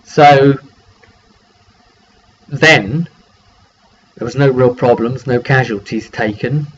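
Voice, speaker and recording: A man's voice: mid-range voice, slow, husky. A man gives an informal, rambling spoken recap of a tabletop game session as its game master, often repeating himself and trailing off. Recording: dull lo-fi mic with faint background noise.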